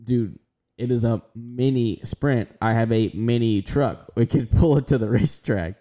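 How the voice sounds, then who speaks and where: A man's voice: mid-range voice, a little slow, warm tone. A young man co-hosting a podcast, chatting casually and rambling with a laid-back manner. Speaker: podcast co-host. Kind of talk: casual chat.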